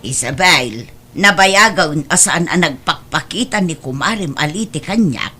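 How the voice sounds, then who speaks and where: A woman's voice: deep voice, lively intonation, a little slow, over music. A woman playing a frank, questioning friend who sells goods and chases debts, speaking with an Ilocano accent in a radio-style comedy drama. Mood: nagging, indignant.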